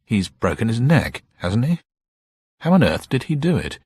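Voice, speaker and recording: A man puts on a hearty, blustering upper-class chap for a detective audiobook. The voice is husky and leaps up in pitch, rattled and indignant. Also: sing-song, normal speed, darkish tone, no echo, studio quality. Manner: hearty old-boy bluster.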